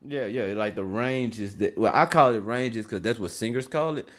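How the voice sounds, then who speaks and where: A man's voice: medium pitch, moderate pace, fairly bright tone. A man chatting casually with a friend, rambling and slangy, restarting his sentences. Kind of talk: casual chat.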